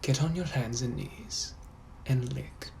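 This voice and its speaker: A young man putting on a British accent to play a suave, menacing nineteenth-century gentleman, talking smoothly, often half-whispered, and calling everyone darling. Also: medium pitch, slow pace, a little hiss or hum. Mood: haughty, commanding. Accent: British, put-on. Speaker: sketch creator. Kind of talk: POV comedy sketches.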